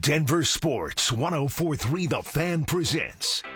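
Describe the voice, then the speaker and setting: Dramatic radio promo announcer over loud music. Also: male voice, on the high side, expressive. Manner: slow, dramatic announcer delivery. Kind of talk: radio station imaging promo. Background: loud music bed.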